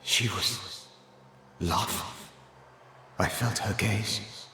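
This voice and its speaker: An actor playing a grave, haunted and learned ghost of a dead man in a video game, speaking in formal, measured phrases. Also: lowish voice, bright, forceful tone, heavily acted. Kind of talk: solemn video game dramatic acting. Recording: echoey hall reverb, faint noise.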